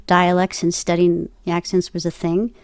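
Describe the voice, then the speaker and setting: A woman chatting casually as an interview guest, telling stories in an animated way and acting out her own reactions. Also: fairly low pitch, normal speed, clear, resonant tone, no room sound, clean studio sound. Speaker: guest, voice actor and podcaster.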